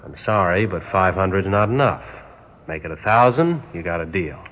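A man's voice: sits low, expressive, moderate pace. A man playing a cynical, hard-bargaining charter pilot in 1960s radio drama, talking bluntly and casually with a sardonic edge. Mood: dry, bargaining. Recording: noisy, tinny old radio recording.

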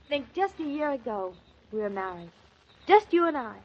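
A playful young newlywed wife, bright and dreamy, with a slightly high voice. She teases and muses in turn. The old radio transcription sounds dull and muffled. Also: very animated, measured pace, full character voice. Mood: tender. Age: young adult. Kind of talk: 1940s radio acting.

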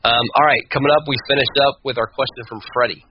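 A man hosting a sports podcast, talking through his analysis casually in a halting way, repeating words and restarting. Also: medium pitch, fairly even intonation. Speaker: podcast host. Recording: muffled, clearly noisy, radio-like audio.

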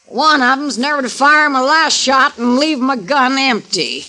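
A brassy saloon queen, high and sharp. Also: lively intonation, full character voice. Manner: brassy, boastful, quick to flare up. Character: brash tough-talking woman saloon owner, crack shot. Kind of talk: 1950s radio drama acting. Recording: old radio broadcast with faint hiss.

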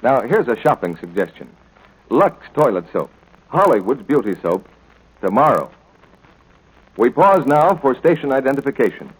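A man's voice: mid-range voice, big pitch swings, normal speed, long pauses, slightly rough, rough recording. A man announcing in polished, scripted 1940s radio advertisement style.

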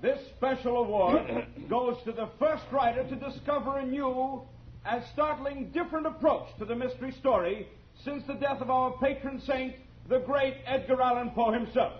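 An actor playing a formal, ceremonious awards banquet presenter in 1940s radio drama style. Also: male voice, very high-pitched, moderate pace. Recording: muffled 1940s radio with faint noise.

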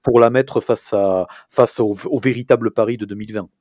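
A man with a low, mellow voice chats hesitantly on a podcast. He restarts often as he searches for words, recorded close and dry. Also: fairly even intonation, fairly quick, no echo. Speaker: podcast co-host. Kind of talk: casual podcast chat.

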